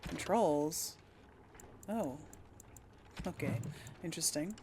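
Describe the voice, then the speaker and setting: A woman's voice: sits low, slow, mellow, slight background noise. A woman hosting a casual let's play, musing aloud to herself and the audience as she plays. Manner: musing, talks to herself while playing. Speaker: gaming video host.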